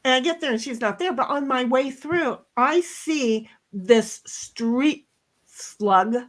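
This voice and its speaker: A middle-aged woman with a loud voice, telling a story bluntly in a casual chat, swearing now and then. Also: sits high, slow pace. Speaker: co-host.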